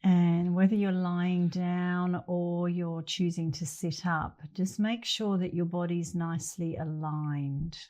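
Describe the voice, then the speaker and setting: A middle-aged woman guides a meditation in a soft-edged, relaxed voice. She takes her time, letting long pauses sit between short, gentle phrases. Her pitch sits a little low. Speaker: meditation instructor.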